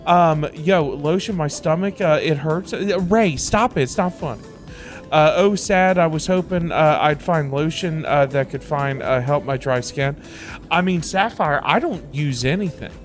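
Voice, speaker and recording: A male livestream host with a slightly high voice talks casually over music. He rambles, circling back and repeating phrases to stress his point. The delivery is loose, unscripted chat. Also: measured pace. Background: music playing in the background.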